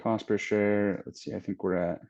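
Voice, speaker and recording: A man's voice: lowish voice, little pitch movement, moderate pace, darkish tone. A man in his thirties hosting a trading channel, talking casually and thinking aloud with restarts as he works through numbers on screen. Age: 30s. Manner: rambling, thinking aloud with restarts while calculating. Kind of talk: casual screen-share walkthrough. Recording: dull lo-fi sound.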